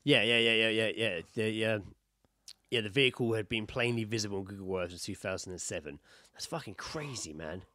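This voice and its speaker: A man's voice: on the low side, a little fast, pushed and bright, no room sound, clean studio sound. A man co-hosting a podcast, chatting casually and reading out odd news stories in an informal, sweary way. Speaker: podcast co-host.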